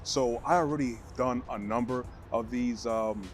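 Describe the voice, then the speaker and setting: A man from Brooklyn with a New York accent and a deep baritone voice, answering questions to camera in an earnest, motivational way. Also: faint music behind. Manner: earnest, motivational, measured phrasing. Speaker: vlogger.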